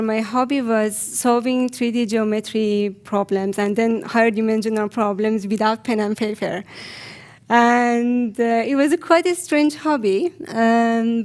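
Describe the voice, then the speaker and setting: A woman with an Iranian accent giving a personal conference talk in a reflective, hesitant way with frequent pauses. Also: a little high, a little mellow. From Iran. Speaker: conference speaker.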